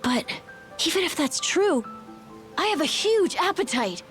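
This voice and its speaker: A young boy from a video game, with a high, youthful voice. He sounds earnest and adventurous, a kid hungry for freedom. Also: very animated, measured pace, fairly bright tone, faint music behind, heavily acted. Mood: earnest pleading.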